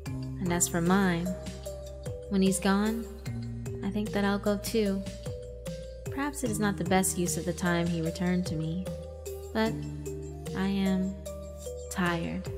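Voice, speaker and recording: A woman voicing a gentle, ancient female fiend in a visual novel, wistful and weary, with a slow, solemn delivery. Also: medium pitch, steady tone, music in the background, lightly acted. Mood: weary, mournful. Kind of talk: dramatic visual novel narration.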